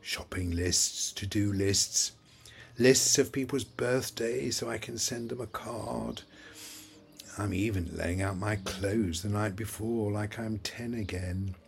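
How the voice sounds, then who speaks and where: A man's voice: fairly low pitch, vocal fry, background noise. An actor playing a forgetful, wry old man who rambles and questions himself in an audio play monologue. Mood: wry, musing. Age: older. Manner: rambling, halting, self-questioning.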